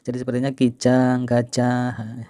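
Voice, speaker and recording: A middle-aged man from Indonesia hosting a video, reminiscing to viewers in an informal, unhurried, explanatory way. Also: medium pitch, monotone, mellow, dry recording. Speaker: video host and storyteller.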